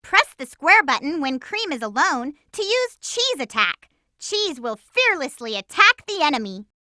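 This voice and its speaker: Cartoon hedgehog girl, game hero, very sharp bright tone, chirpy, eager, close dry sound, clear tutorial delivery. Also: high voice, big pitch swings, a little slow, no echo, heavily acted. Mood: upbeat, instructive. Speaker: playable character giving gameplay hints. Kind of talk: video game tutorial voice acting.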